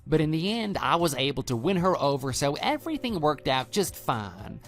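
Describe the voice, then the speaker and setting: Smug, brazen other man for audio drama, male actor: dismissive, condescending, very animated pitch, faint music underneath. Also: moderate pace. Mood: gloating. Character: smug, brazen male lover taunting a betrayed husband. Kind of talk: scripted texting-story radio drama.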